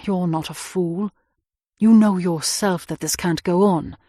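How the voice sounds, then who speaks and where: A woman voicing an anguished young man on the run, who swings from vehement outbursts to hushed, tender pleading in a husky, breathy voice. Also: on the low side, unhurried, no room sound, clean studio sound. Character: anguished young man, hunted fugitive, passionate and brooding.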